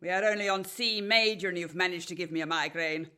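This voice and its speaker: A woman's voice: fairly low pitch, fast, close to an everyday voice. A woman playing a domineering, haughty Victorian piano teacher in a radio play, imperious and clipped. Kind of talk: period radio play acting.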